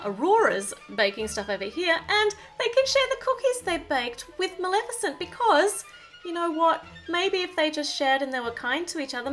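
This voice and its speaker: A woman's voice: high voice, fairly bright tone. A woman presenting a toy build in a chatty, playful, gushing way, talking straight to young viewers. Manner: talks to the viewer. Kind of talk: chatty build-along narration for children.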